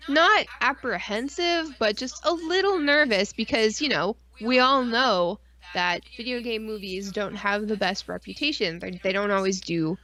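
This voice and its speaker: A woman's voice: fairly high pitch, normal speed, hardly any pauses, sharp tone. A woman co-hosting a podcast stream, chatting casually in a rambling, informal way with lots of filler 'like'. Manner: rambling and informal, frequent 'like' and mild swearing. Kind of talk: casual chat.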